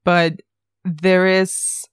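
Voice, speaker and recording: Female podcast co-host, 30s, lower register, close dry sound, wry and self-deprecating in casual film talk. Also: flat delivery, very slow, clean tone, no room sound.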